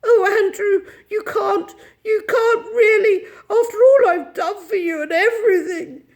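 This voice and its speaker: Shrill-pitched, melodramatic haughty lady. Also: unhurried, a little mellow. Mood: tearful, wailing. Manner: indignant, imperious, wailing theatrics. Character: haughty, fussy, melodramatic well-to-do lady dog owner.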